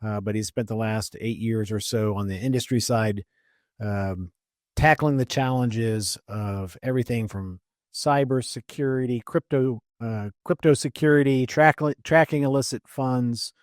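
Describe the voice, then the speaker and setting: A man's voice: medium pitch, a little slow, a little mellow, dry recording, clean studio sound. Upbeat man hosting a podcast. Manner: upbeat, welcoming host patter. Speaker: podcast host. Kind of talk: podcast intro and interview.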